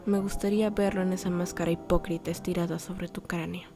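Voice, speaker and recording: A woman voicing a resentful teenage violinist boy reading from his diary in a formal, measured, bitter manner. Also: lowish voice, flat delivery, dark tone, voice pushed down, lightly acted. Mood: cold, menacing. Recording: music under the voice.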